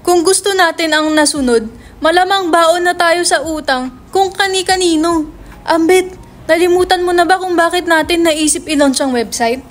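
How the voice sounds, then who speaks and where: A woman's voice: very high-pitched, normal speed. A woman playing a brash, foul-mouthed young male writer in a dramatic script reading, slangy and insistent. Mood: angry, insistent.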